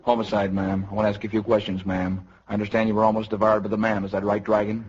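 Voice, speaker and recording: An actor playing a deadpan knight who talks like a clipped, polite police detective in a 1950s radio parody. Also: male voice, lowish voice, fast, a crowd in the background, close to an everyday voice. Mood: deadpan, businesslike. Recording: dull, very noisy 1950s radio recording.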